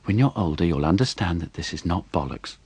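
A man's voice: deep voice, lively intonation, brisk, darkish tone, slightly rough, no room sound. Mother done by a man, patient, lecturing voice, dry. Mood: patronising. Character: worked-up mother, then patronising.

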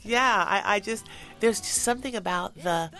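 A middle-aged woman singer, a guest in a conversational interview, animated and quick to laugh. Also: mid-range voice, soft background music. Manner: animated, laughs easily, talks with passion.

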